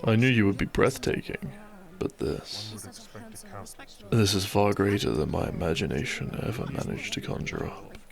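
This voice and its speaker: A man playing a devoted, tender doctor and newlywed groom in a romantic audio roleplay, speaking softly and earnestly to his beloved. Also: lowish voice, creaky, heavily acted. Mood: fond, relaxed.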